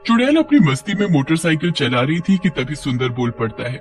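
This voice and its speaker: Male narrator, slightly high voice, scripted horror storytelling over background music. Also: lively intonation, a little fast.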